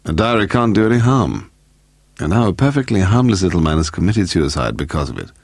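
Weary gentleman sleuth, read by a male narrator, dry, resigned, slightly gravelly, crime audiobook. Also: low voice, very animated, fairly quick, dry recording, heavily acted. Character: weary, thoughtful gentleman detective.

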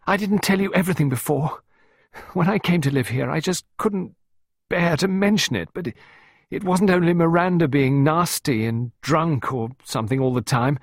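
A man's voice: normal speed, no room sound. A man voicing a distraught young girl in an audiobook, pleading and close to tears, with desperate outbursts. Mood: anguished. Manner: crying, shrill outbursts.

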